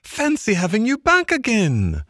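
Excitable, high squeaky little mole. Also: male voice, a little slow, slightly rough, no room sound, clean studio sound, exaggerated voice. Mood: delighted. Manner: exclamatory, effusive. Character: excitable, eager, grumbling little male mole. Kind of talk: storybook character voice.

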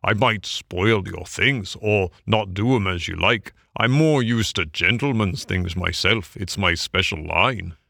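A man's voice: on the low side, unhurried, creaky, few pauses, pushed and bright, no echo, studio quality, exaggerated voice. A man voicing a conceited, hot-tempered male toad with a haughty, blustering manner in a storybook reading. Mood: nervous, wheedling. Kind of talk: audiobook character acting.

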